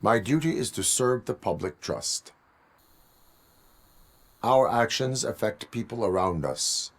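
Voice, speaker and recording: A man voicing a stoic cyborg police officer in a video game, speaking in a formal, stilted, deadpan way. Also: mid-range voice, slow pace, lightly acted. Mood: stern, measured.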